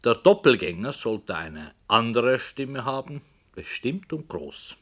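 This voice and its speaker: A brooding radio-play author. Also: male voice, medium pitch, sing-song. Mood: musing. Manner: slow, hushed, sometimes whispering. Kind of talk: 1960s radio play acting.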